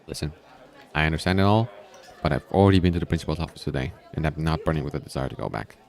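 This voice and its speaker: A man voicing an evasive, slightly sarcastic male high school student in a visual novel. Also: rapid-fire, vocal fry, darkish tone, some chatter behind. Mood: awkward. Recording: background music.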